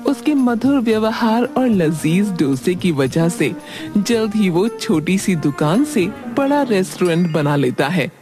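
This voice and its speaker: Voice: mid-range voice, sing-song, unhurried. A narrator reading a scripted cartoon story in a storytelling style. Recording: background music under voice.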